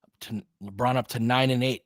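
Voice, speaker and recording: Male fan commentator, mid-pitched, dry recording. Clipped live hoops play-by-play, openly partisan, slangy. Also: steady tone, brisk, no echo.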